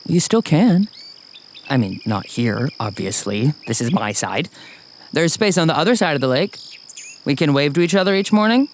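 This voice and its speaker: A man playing a friendly, slightly awkward fellow in a naturalistic audio drama, speaking gently and hesitantly. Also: fairly high pitch, expressive, normal speed, slight background noise. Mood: awkward, earnest. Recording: faint outdoor ambience with insects.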